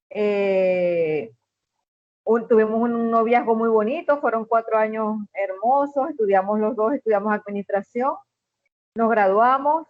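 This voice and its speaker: A middle-aged woman with a Venezuelan accent, a guest in an interview, telling a personal story in an earnest, measured way with many "este" fillers. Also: a little high, a little mellow, no echo, muffled recording. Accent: Venezuelan Spanish.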